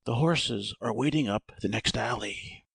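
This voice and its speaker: A very gravelly, husky male adventurer, acted by a man for an audiobook drama. He is a teasing gentleman, recorded dry with almost no room around the voice. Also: mid-range voice, measured pace, dry recording, full character voice. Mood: urgent. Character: gleeful mimicking gentleman adventurer with rifle-toting rescuers.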